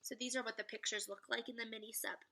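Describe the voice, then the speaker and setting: A woman with a high, strikingly bright voice speaks as a primary school teacher. She explains directly to her young pupils in the style of a read-aloud lesson. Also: fairly even intonation, fast talker, gravelly. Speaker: second grade teacher.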